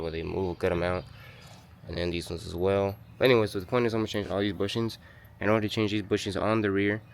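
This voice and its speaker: A man with a low, deep voice talks quickly through a car-repair how-to. He is sick and losing his voice, rambling and correcting himself in a loose, slangy way. A faint background noise runs under the recording. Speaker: DIY car channel host. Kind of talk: casual how-to walkthrough. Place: home garage.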